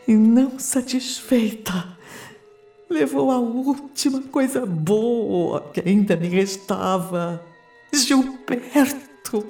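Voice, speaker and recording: An actress playing an anguished, bitter young woman trapped in her body, in a radio drama monologue with dramatic, breathy half-whispers. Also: a little high. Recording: music underneath.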